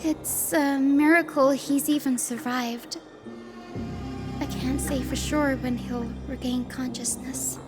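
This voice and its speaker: A woman voicing a gentle, earnest young healer in a video game, speaking politely and carefully. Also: high voice, fairly even intonation, slow pace. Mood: concerned, earnest. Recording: music behind the voice.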